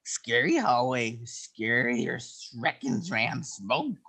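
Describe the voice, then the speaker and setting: A man's voice: medium pitch, sing-song, takes it slowly, creaky, sharp tone, husky. A man narrating comic panels as a joke, goofy and slurred, replacing first sounds with an r sound. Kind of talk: comedic dramatic reading.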